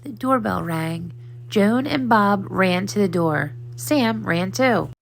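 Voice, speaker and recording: A woman reading a children's story aloud in a simple, deliberate way for young listeners. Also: medium pitch, lively intonation, vocal fry, a little hiss or hum, rough recording. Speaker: storybook reader. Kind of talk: children's read-aloud narration.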